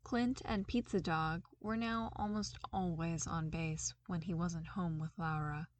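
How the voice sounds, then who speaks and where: A woman reading fan fiction aloud as narrator, with expressive, lively storytelling. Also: fairly low pitch. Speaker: podfic reader.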